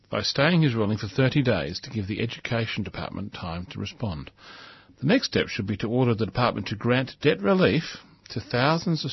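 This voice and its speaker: A man's voice: lowish voice, slightly rough, no echo, dull audio. Emphatic man reading editorial. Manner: earnest, emphatic commentary with repeated restarts. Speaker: radio host reading an editorial. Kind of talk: reading a newspaper editorial with comment.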